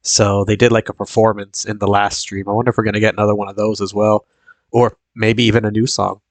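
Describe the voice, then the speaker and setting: A man's voice: fairly low pitch, level tone, fast, no room sound. A young man with a sniffly, congested voice from a cold, chatting casually as a live-stream host in a rambling way full of fillers and restarts.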